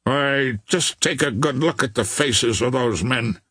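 A man voicing a blunt, suspicious yacht captain in an audiobook, gruff and plain-spoken. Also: medium pitch, unhurried, a bit bright, dry recording, clean studio sound. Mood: suspicious, uneasy.

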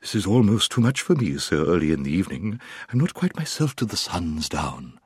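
A man voicing a large, courteous, flustered storybook owl with a soft hooting voice and repeated calls. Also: low voice, big pitch swings, slightly rough, no echo, studio quality, heavily acted. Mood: gentle, puzzled. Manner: repeats itself, breaks into drawn-out hoots.

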